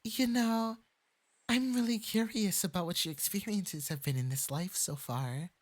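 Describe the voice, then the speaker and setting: A performer with a light, breathy voice playing a clingy, lovestruck fox-spirit femboy mage in an intimate ASMR roleplay, coaxing sweetly and possessively. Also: mid-range voice, measured pace, natural voice. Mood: possessive, calm. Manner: sweetly coaxing, possessive, swinging into eager excitement.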